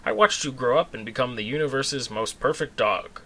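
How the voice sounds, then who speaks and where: Man as an eccentric, bright-voiced alien scientist. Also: medium pitch, expressive, moderate pace. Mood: earnest, eager. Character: red long-limbed alien scientist, eccentric, eager, demanding.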